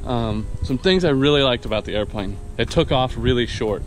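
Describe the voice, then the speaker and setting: A man's voice: mid-range voice, expressive, moderate pace, soft background music, rough recording. Young man vlogging while walking outdoors. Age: young adult. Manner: rambling, enthusiastic, many fillers and restarts. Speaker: vlogger and new private pilot. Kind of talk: casual aircraft review to camera. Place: outdoors on a wooded dirt path. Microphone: handheld action camera at arm's length.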